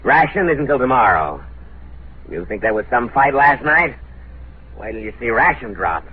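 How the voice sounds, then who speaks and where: A man's voice: mid-range voice, sing-song, moderate pace, slightly rough. An actor playing a paranoid, half-mad male prisoner in an old radio drama, suspicious, jumpy and given to laughing to himself. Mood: gleeful, menacing. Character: deranged, paranoid male prison-camp inmate, violent ex-teacher. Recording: tinny, noisy old radio recording.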